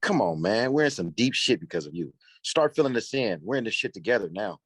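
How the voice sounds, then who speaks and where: An actor playing a tough, impatient young male hustler in a screenplay table read, confrontational and slangy, with a husky voice. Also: mid-range voice, animated, fairly quick, a bit bright, no echo. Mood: angry, pressing.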